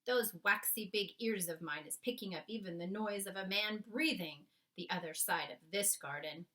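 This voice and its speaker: Woman voicing a gentle storybook giant with folksy speech. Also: mid-range voice, moderate pace, distant mic, close to an everyday voice. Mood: confident, hushed urgency. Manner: folksy, ungrammatical phrasing. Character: gentle giant man with quaint mangled grammar, cautious. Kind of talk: children's storybook character read aloud.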